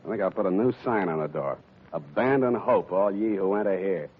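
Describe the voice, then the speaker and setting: A man's voice: low voice, brisk, breathy edge. An actor playing a hard-boiled male private detective in a 1940s radio drama, talking in a dry wisecracking drawl. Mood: wry. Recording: tinny lo-fi old radio broadcast with hiss.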